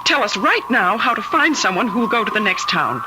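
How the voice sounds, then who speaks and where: A woman's voice: fairly high pitch, big pitch swings, fairly quick. An actress playing a stranded, impatient, incredulous woman traveler in an old-time radio drama. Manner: demanding, exclamatory. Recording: very noisy old radio recording.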